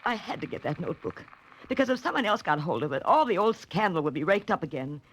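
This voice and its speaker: A woman's voice: mid-range voice, very animated, fast talker, husky. A woman playing a guarded, desperate woman in her thirties in a 1940s radio melodrama, with a dramatic delivery. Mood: anguished pleading. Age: 30s. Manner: dramatic, haughty turning to pleading. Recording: faintly noisy vintage 1940s radio recording.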